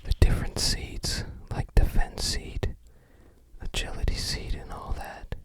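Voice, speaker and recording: This is a soft, breath-only whisper from an ASMR host. The delivery is casual and musing, like unhurried unboxing commentary. Remarks come out tentatively, as quiet thinking aloud.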